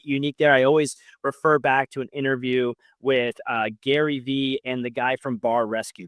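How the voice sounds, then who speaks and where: A man's voice: mid-range voice, dry recording, studio quality. A man hosting a conversational business podcast, speaking emphatically and repeating key phrases for stress. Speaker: podcast host interviewing an entrepreneur.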